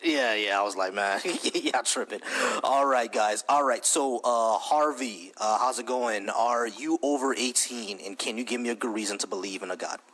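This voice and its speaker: This is a man hosting a live call-in debate. His voice sits at a mid pitch, and his talk is relaxed and full of casual slang. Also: moderate pace, hardly any pauses, poor audio. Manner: laid-back, slangy, easygoing. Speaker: host.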